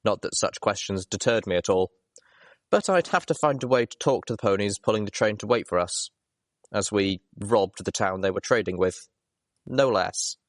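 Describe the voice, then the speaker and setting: A man narrates a first-person story in a lowish voice. His reading is highly animated in pitch, and the sound is dry and close. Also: moderate pace, no echo. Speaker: audiobook reader.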